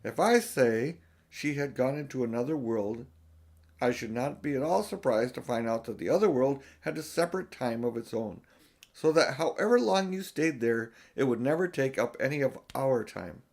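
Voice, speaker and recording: A man voicing a learned old professor in a storybook, deliberate, pedantic and emphatic. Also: medium pitch, animated, a little mellow. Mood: reasoning, musing. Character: learned old male professor, musing and sharp. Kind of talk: storybook character reading.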